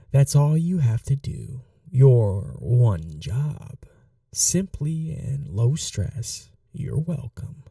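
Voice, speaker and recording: A performer plays an obsessed, starving vampire in an ASMR roleplay. The voice is very dark and gravelly, swinging expressively between murmurs and breathy whispers. It lingers possessively over the words, hungry and coaxing. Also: mid-range voice, no room sound, clean studio sound, distant mic. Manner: hushed, slow, lingering, often dropping into whispers.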